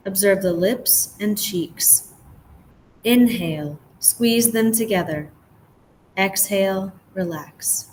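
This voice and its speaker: A woman guiding a relaxation meditation as a yoga nidra teacher, giving gentle, measured instructions with pauses. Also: medium pitch, a little hiss or hum, poor audio.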